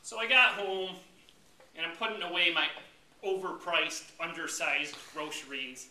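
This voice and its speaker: An American man delivers a comic speech with theatrical mock indignation. His voice is high and very bright and forceful, pushed out to a room. It sounds roomy, picked up from a distance. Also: a little slow. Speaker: club speaker. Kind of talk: humorous tall-tale speech to a live audience. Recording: roomy, distant mic with background noise.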